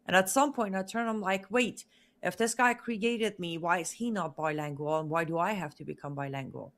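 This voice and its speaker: A woman's voice: fairly low pitch, normal speed, a bit bright. Earnest woman recounting her past. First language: Farsi. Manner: earnest, emphatic, repeats words for stress. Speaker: guest. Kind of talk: interview testimony.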